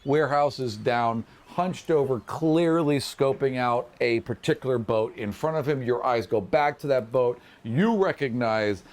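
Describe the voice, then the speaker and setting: A man runs a tabletop fantasy game as its game master. He improvises scenes in an animated, conversational style and throws in his own vocal sound effects. His pitch is average for a man. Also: unhurried, few pauses.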